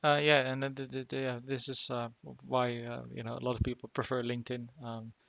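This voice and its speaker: A man hosting a casual remote interview, talking haltingly with lots of fillers and restarts. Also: mid-range voice, flat delivery, gravelly, a bit bright, no room sound, lo-fi sound. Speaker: podcast host.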